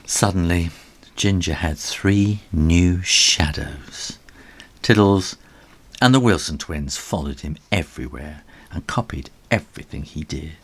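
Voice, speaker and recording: A man reading a children's story aloud as its author, in an animated storybook narration style with a husky voice. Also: deep voice, measured pace.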